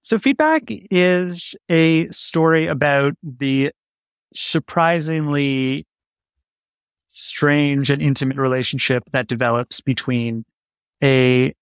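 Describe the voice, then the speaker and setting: A man's voice: fairly high pitch, clear, resonant tone, dry recording. A man speaking conversationally as an interview guest, explaining his creative work in a reflective way. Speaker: audio drama writer, interview guest.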